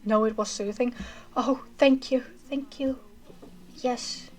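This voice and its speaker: A woman streamer wraps up her livestream in loose, rambling chat. She talks slowly in a fairly high voice, with a self-described monotonous tone. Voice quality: monotonous reading voice. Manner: chatty, rambling with fillers. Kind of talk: casual chat ending a livestream.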